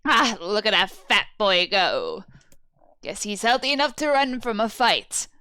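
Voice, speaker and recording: A woman voicing a fierce, protective old village grandmother who speaks slowly and gruffly. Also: mid-range voice, very animated, bright, forceful tone, no echo. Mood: scornful. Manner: slow, gruff, rough-spoken.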